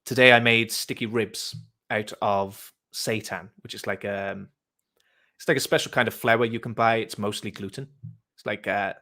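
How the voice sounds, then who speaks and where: Low-pitched British man, casual and encouraging. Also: fairly even intonation, moderate pace, sharp tone. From Wales. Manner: friendly, informal, encouraging. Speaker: host, vegan activist. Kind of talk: casual persuasive conversation. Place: online voice chat.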